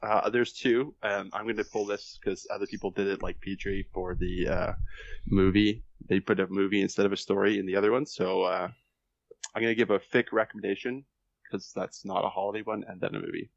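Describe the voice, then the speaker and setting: Male podcast guest, gravelly low register, casual talk, very dry recording. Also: steady tone, brisk, dry recording, dull audio.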